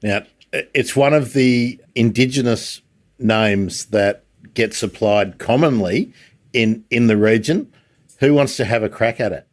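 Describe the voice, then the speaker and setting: A man's voice: mid-range voice, a little slow. A man with an Australian accent hosting a casual interview, inquisitive and a little rambling, with a self-deprecating way of talking. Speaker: livestream host and interviewer.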